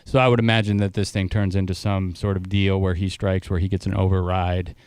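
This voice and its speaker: Smooth low-voiced man co-host. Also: flat delivery, fairly quick, a little mellow, no room sound. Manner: wry, offers opinions and teasing asides. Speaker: radio co-host. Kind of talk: casual talk radio banter.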